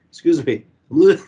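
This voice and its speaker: A young man reviewing a movie in casual podcast chat. His voice is hoarse and going, and he rambles with lots of fillers and swearing. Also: a little high, mellow, lo-fi sound.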